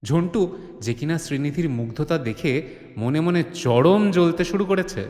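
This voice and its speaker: Male narrator, dramatic audio drama storytelling, expressive swinging pitch, roomy reverberant sound, soft music underneath. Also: moderate pace. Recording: echoing studio reverb with faint music bed.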